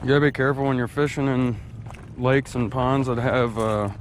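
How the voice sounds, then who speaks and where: A man's voice: medium pitch, fairly even intonation, normal speed. A man vlogging as he fishes at a quarry lake, talking in a casual, laid-back, drawling way while he casts, recorded on a head-mounted action camera. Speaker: fishing vlogger. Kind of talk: casual outdoor narration. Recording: faint outdoor noise.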